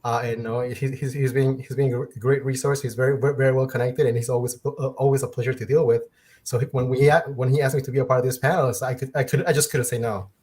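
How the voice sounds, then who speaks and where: A man's voice: medium pitch, level tone, fast talker, darkish tone. A man from Argentina speaking on a panel about his catering work. He talks hesitantly, with a lot of "uh" fillers.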